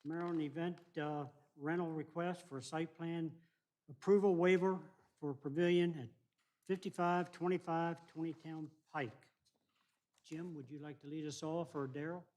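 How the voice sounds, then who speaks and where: A man's voice: fairly high pitch, fairly even intonation, normal speed, a little mellow. A man chairing a town planning commission meeting in a formal, procedural way. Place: council meeting room.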